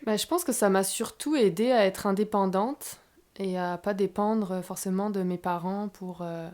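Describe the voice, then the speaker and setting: A woman from France with a Parisian accent, in her forties, talking about her life as a guest dancer and choreographer in a relaxed, thoughtful way. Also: mid-range voice, normal speed. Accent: Parisian French. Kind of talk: interview conversation.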